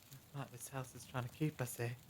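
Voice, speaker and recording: A woman's voice: very deep voice, steady tone, brisk, a little mellow, a little hiss or hum. A woman playing a gloomy, paranoid captive with a fretful manner in an audio drama. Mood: uneasy. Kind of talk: fan audio drama acting.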